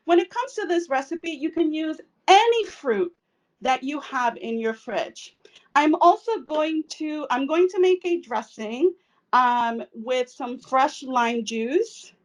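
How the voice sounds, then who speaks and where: A woman's voice: sits high, fairly bright tone, distant mic, poor audio. A woman from El Salvador giving a casual cooking demonstration in her home kitchen, chatty with frequent fillers. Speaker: cooking instructor.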